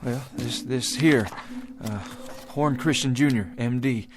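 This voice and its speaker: An actor playing an earnest, bewildered frontier father from the past in a radio drama, speaking in a halting, plain-spoken folksy way. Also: medium pitch, close to an everyday voice. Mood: stunned, halting. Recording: music under the voice.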